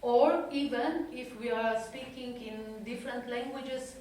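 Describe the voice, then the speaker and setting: Slow, halting woman in an echoey room. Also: fairly high pitch, a little mellow, slight background noise. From Europe. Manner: halting, searching for words in a second language. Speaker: psychotherapist giving a talk. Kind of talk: personal talk to an audience. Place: talk room at an institute. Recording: echoey room from a distant mic.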